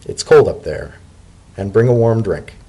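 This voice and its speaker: A man voicing a fussy, wry male pony civil servant in a story reading, with a dry, brisk and protective manner. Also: lowish voice, animated, dark tone, slightly rough, low-quality recording. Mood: fondly bossy. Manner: dry, brisk, fussily caring.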